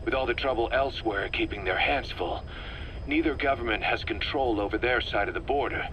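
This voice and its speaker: A man playing a hardened, wry mercenary officer giving a deliberate, emphatic briefing in a video game. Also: medium pitch, a bit bright, slight background noise, natural voice, low-quality recording. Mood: grave, informative.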